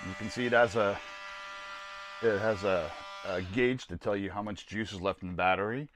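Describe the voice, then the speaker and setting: A man's voice: lowish voice, moderate pace, background noise. An older American man giving a casual, plainspoken product review to camera in a folksy, rambling way. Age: older, around 60s. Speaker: host of a camping gear video series. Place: small camper trailer interior.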